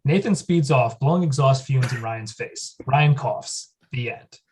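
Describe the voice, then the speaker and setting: Brief: male reader for stage directions, mid-pitched, matter-of-fact. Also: unhurried, slight background noise. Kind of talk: flat reading of scene directions.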